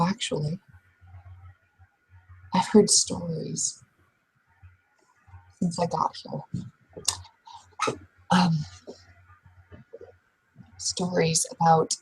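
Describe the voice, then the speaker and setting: A woman playing a wary but polite werewolf sept member, probing in a measured, hesitant way during a roleplaying game. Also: low-pitched, darkish tone, breathy edge, exaggerated voice, rough recording. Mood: measured, serious.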